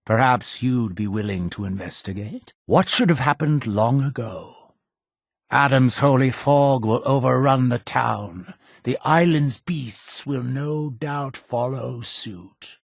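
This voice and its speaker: An actor voicing a stern, suspicious cult leader in a video game, fatherly yet menacing, with a husky voice and solemn, measured, preacher-like formality. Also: mid-range voice, no echo, studio quality, heavily acted. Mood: solemn urging. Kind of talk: scripted video game dialogue.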